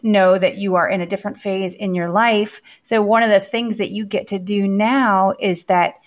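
Chatty raspy-voiced woman podcast host. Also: medium pitch, fairly even intonation. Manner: chatty, quick, full of fillers. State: raspy voice from laryngitis. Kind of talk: casual chat.

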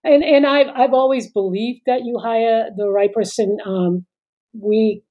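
A woman's voice: on the high side, measured pace, lo-fi sound. A middle-aged woman co-hosting a podcast in casual chat, talking hesitantly with many fillers and trailing sentences. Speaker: podcast co-host.

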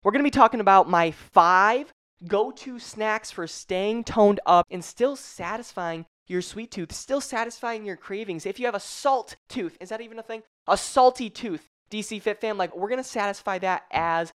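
A woman's voice: mid-range voice, moderate pace. A woman fitness coach running a live online training, hyped and swearing casually, a bit congested from a cold. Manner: hyped, swears casually, addresses viewers by name. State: congested, a little stuffy. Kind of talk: energetic live-stream coaching talk.

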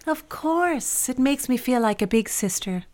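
An actress playing a composed, worldly married office friend in a period drama, poised and big-sisterly. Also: female voice, high voice, expressive, measured pace, a little mellow. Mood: brisk, reassuring. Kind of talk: period radio drama acting.

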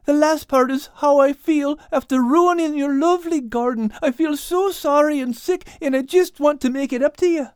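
A sheepish Irish tomcat acted out by a woman talks in a raised, high voice. He is humble, dopey and sweet, a timid storybook character always putting himself down and apologising. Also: normal speed, few pauses, no room sound, clean studio sound, natural voice. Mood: flustered, apologetic. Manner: sheepish, self-deprecating.

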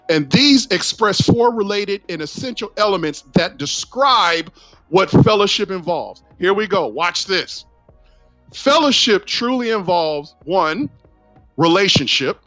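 A man preaching a sermon, emphatic and direct, often calling out to the listeners as ladies and gentlemen. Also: high-pitched, dry recording.

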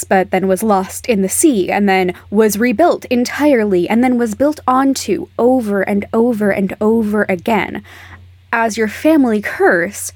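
A woman with a medium-pitched voice recommends things to podcast listeners in an intimate way. She uses dramatic pauses and soft endings, recorded very dry and close. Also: dry recording. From a desert region. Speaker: podcast contributor giving recommendations.

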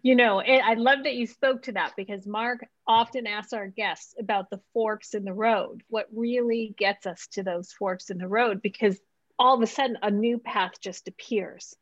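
A woman's voice: mid-range voice, normal speed, no room sound. Affirming woman co-host, dull dry line. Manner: animated, affirming, adds "right?". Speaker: podcast co-host. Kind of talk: interview questions.

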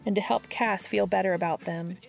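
A woman's voice: mid-range voice, steady tone, fairly quick, creaky, faint music behind, low-quality recording. A woman hosting a podcast, reading scripted introductions and fan fiction narration aloud. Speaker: podcast host and reader.

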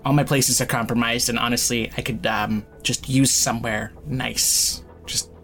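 A man's voice: mid-range voice, steady tone, creaky, a bit bright. Flippant, foul-mouthed young man, music underneath. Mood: awkward, apologetic. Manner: casual, profane, hesitant and halting. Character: flippant, foul-mouthed young male magic-user, guarded and sardonic. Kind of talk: naturalistic audio drama acting.

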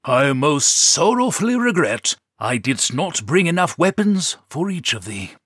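A man's voice: on the high side, very animated, fairly bright tone, husky, no echo, studio quality, pitched above the performer's own voice, heavily acted. A man voicing a bold, pompous knight who declaims in mock olde English. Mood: solemn, rousing. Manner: grandiose, archaic, slow and declaiming.

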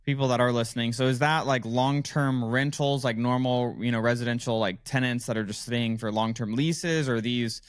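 A man's voice: mid-range voice, steady tone, moderate pace, few pauses, smooth, no room sound, clean studio sound. A man hosting a business podcast, asking loose, upbeat questions full of fillers. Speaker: podcast host. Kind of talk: podcast interview with rambling questions.

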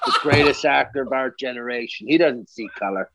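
A man's voice: medium pitch, a little fast, gravelly, fairly bright tone. A man chatting casually as an interview guest, joking around in an irreverent, sweary way.

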